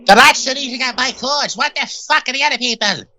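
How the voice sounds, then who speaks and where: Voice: high-pitched, fairly quick, bright, forceful tone, dull audio. A performer voicing a blunt, foul-mouthed complaining hotel guest for a prank call, in terse sentences. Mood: exasperated.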